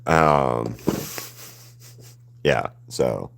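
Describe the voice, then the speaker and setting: A man's voice: extremely low pitch, very slow, gravelly, a bit bright. A man in his thirties with a mild Southern US accent, chatting loosely and rambling while he paints live, cursing casually. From Southern United States. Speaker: artist streaming live.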